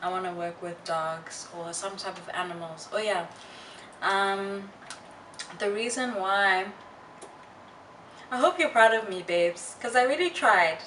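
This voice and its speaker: A young woman vlogger chatting casually to her viewers in a candid, halting, reflective way with slangy asides. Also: mid-range voice, sharp tone. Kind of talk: casual personal chat to viewers. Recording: roomy, distant mic, faint noise.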